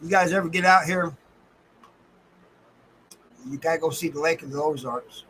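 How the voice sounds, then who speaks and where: A man's voice: fairly high pitch, moderate pace, bright, forceful tone, slight background noise, rough recording. An American man hosting a casual livestream, talking in a folksy, colloquial way and addressing his viewers by name. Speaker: livestream host.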